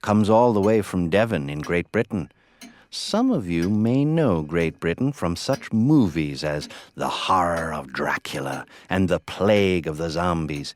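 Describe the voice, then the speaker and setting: Very deep, slow theatrical horror host. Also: male voice, lively intonation, no room sound. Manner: slow, deliberate, playfully ominous. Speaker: host and narrator of an audio horror anthology. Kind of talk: theatrical scripted horror introduction and narration. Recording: voice over prominent music.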